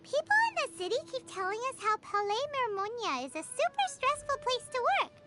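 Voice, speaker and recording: A woman voicing a small, childlike, self-important flying fairy companion in a video game, squeaky and exclaiming. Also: big pitch swings, a little fast. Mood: puzzled. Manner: refers to self in third person, exclaiming. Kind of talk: scripted video game dialogue. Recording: music behind the voice.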